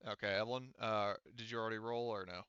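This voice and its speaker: A man running a tabletop game as game master, explaining rules casually in a halting, self-correcting way. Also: on the low side, fairly even intonation, a bit bright, clear, resonant tone, no room sound, lo-fi sound.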